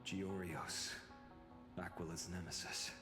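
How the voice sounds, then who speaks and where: A man voicing a composed, scholarly fantasy game character in measured, formal scripted dialogue. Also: deep voice, little pitch movement, pushed and bright, heavily acted. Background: game music.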